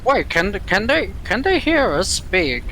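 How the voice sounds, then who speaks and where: A man playing a tabletop role-playing game, describing his action in a halting way with repeated words. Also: sits high, sing-song, bright, forceful tone, slight background noise.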